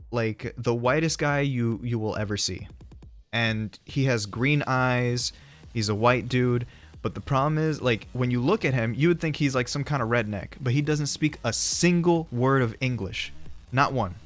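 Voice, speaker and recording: A young man livestreaming, chatting casually with his viewers. He rambles, laughs often and swears freely. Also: mid-range voice. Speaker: streamer. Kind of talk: casual livestream chat, reading chat aloud. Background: faint background music.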